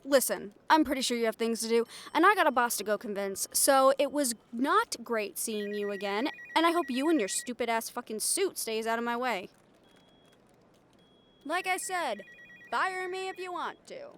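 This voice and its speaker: A woman's voice: a little high, lively intonation, moderate pace, a bit bright, a little hiss or hum. A woman playing a brash, cynical, foul-mouthed reporter in an improvised audio roleplay, with a blunt, sardonic manner. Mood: irritated, defiant.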